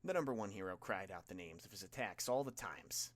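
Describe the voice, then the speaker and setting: A man narrates a piece of fiction in a fairly low voice. He reads from a script as an audiobook narrator, with expressive delivery. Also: brisk.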